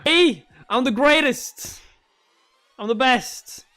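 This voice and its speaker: A man's voice: very high-pitched, slow, pushed and bright, voice pushed up, exaggerated voice. A man voicing a bratty, sleepy, boastful young martial-arts prodigy in an impatient, whining way for a webcomic read-along.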